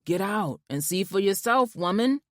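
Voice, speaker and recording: A woman voicing a calm, proud husband. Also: mid-range voice, moderate pace, dry recording, clean studio sound, pitched above the performer's own voice, natural voice. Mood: tender. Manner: easygoing, affectionate. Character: loving proud adult man, calm husband. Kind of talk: audiobook narration.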